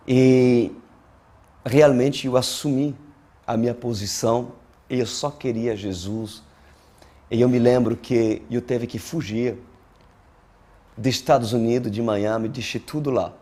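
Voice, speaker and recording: Slow, mellow male preacher. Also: mid-range voice. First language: not Portuguese; learned Portuguese as an adult. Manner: deliberate, emphatic, with dramatic pauses and repeated phrases. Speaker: pastor preaching. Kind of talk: sermon.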